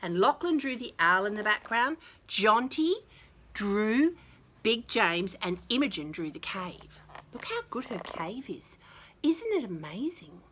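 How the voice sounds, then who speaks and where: A woman with an Australian accent reading a picture book to young children, adding chatty, affectionate asides in an expressive way. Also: medium pitch, unhurried, a little hiss or hum. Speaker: children's storyteller and teacher.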